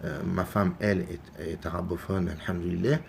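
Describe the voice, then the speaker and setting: A man from France giving unscripted religious commentary, halting and full of repeated words and fillers. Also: low voice, steady tone, vocal fry, darkish tone, slight background noise. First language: French. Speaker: religious video maker.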